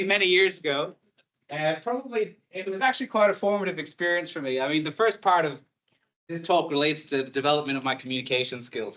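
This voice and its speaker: A man's voice: on the high side, sharp tone, lo-fi sound. A man in his thirties with an Irish accent, a university lecturer giving an online talk in a conversational way, with light humor and many fillers. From Ireland.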